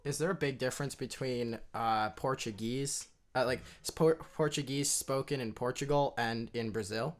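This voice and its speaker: A man hosts a podcast in an easygoing, unhurried chat, sprinkling in uhs and small laughs. His voice is smooth and clear, sitting in a middle pitch. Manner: easygoing, laughs often, hesitant with fillers. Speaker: podcast host. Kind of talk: casual conversation.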